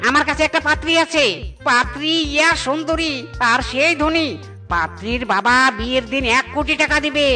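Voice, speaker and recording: A scheming cartoon monkey matchmaker, voiced by a woman, brash and emphatic. The voice is fairly high and forceful, made for scolding and wheedling, over faint music. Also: moderate pace. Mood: sly persuasion. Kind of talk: cartoon voice acting.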